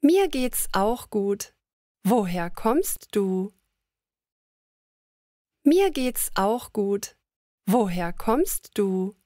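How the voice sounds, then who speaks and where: A woman reading lines of a slow scripted conversation for language learners, repeating each sentence. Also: medium pitch, big pitch swings, dark tone, dry recording, studio quality. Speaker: language lesson voice.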